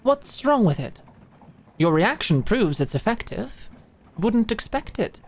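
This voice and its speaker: A woman's voice: mid-range voice, sing-song, measured pace, a little mellow, breathy edge, pitched above the performer's own voice, heavily acted. A woman voicing a pompous, prickly aristocratic doctor in a medieval audio drama, with formal, precise speech. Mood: smug, brisk. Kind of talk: medieval fantasy audio roleplay acting.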